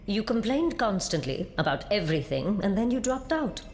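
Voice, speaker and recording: Prim deadpan game heroine, reverberant. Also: female voice, mid-range voice, moderate pace. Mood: dry, disapproving. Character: prim, dry, composed female architect. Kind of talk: video game voice acting. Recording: long reverb, faint noise.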